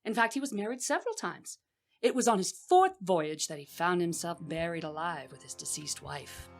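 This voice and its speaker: An actress playing a female storyteller, narrating adventure tales for a radio play in a measured, theatrical way. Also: fairly low pitch, lively intonation, soft background music. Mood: matter-of-fact.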